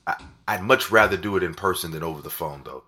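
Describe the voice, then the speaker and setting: An actor playing a smitten, stressed senator in an audio drama, with a husky voice. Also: male voice, sits low, fast, bright, forceful tone, exaggerated voice. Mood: smitten, earnest.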